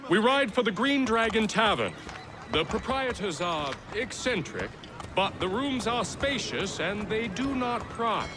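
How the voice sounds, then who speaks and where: High-pitched, earnest young man, eager to serve. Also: very animated, measured pace, background noise. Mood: helpful. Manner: formal, eager, respectful. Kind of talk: video game cutscene acting.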